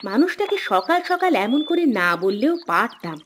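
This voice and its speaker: A woman's voice: high voice, big pitch swings, moderate pace, a bit bright, slight background noise. A woman playing a bossy, caring village wife and mother in a cartoon, with an emphatic, nagging delivery. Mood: worried. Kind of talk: cartoon dialogue acting.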